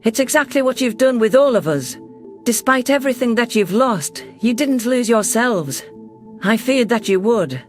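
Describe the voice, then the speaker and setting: A man voicing a caring, composed female alien leader who narrates a sci-fi story in a gentle, reflective way. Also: extremely high pitch, animated, moderate pace, soft background music. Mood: reassuring, firm. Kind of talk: first-person sci-fi story narration and dialogue.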